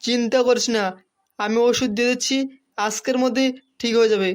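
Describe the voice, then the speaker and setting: A man's voice: very high voice, steady tone, brisk. A man voicing a bossy, reassuring older village shopkeeper in a comic cartoon.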